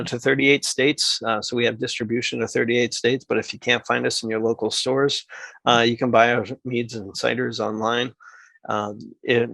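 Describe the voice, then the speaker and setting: A man's voice: mid-range voice, creaky, fairly bright tone, no room sound. A man who makes mead, guest on a podcast, talking enthusiastically in long run-on stretches. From New Hampshire. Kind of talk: casual podcast interview.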